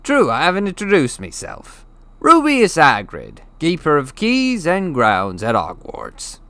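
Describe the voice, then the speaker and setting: Man playing a huge, gruff, jovial storybook giant, raised pitch. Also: huge pitch swings, measured pace, a bit bright, dry recording, full character voice. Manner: folksy, colloquial, dropped endings.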